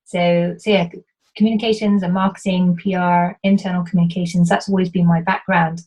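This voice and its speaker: Husky-voiced British woman, business coach. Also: lowish voice, level tone, brisk, darkish tone, low-quality recording. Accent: light British, toned-down Welsh. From Wales. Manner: earnest, explanatory, with frequent restarts and fillers. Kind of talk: interview answers.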